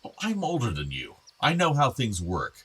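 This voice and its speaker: A man's voice: medium pitch, huge pitch swings, normal speed, husky. An actor playing an older, meddling, wistful father in a naturalistic radio play, with bookish, formal phrasing. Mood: urging. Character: older father, wistful, meddling, urging his son to marry.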